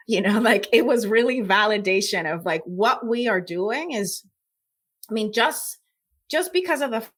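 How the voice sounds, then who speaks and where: A woman's voice: mid-range voice, a bit bright. A woman from Puerto Rico with a Puerto Rican accent, giving reflective, emphatic answers as an interview guest. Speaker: podcast guest, scientist.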